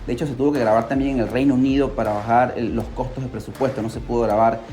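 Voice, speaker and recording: A podcast host telling a scripted story in a dramatic, excitable way, with rhetorical questions and exclamations. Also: mid-range voice, quick pace, faint music behind. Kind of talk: scripted storytelling narration for a pop culture podcast.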